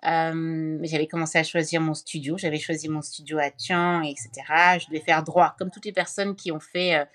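A middle-aged woman from Cameroon with a fairly low voice talks as an interview guest. She feels her way through each answer, stopping and restarting often with plenty of fillers. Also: level tone, moderate pace. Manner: hesitant, many fillers and restarts, warm laughs. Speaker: podcast guest, foundation founder. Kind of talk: conversational interview.

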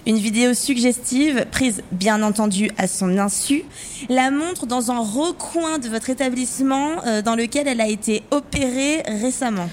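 An actress as a cheeky, high-voiced woman schemer. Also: moderate pace, a little mellow, slight background noise, natural voice. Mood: mock-posh. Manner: playful, theatrical, slangy. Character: cheeky, upbeat woman activist friend full of schemes. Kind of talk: French audio fiction acting.

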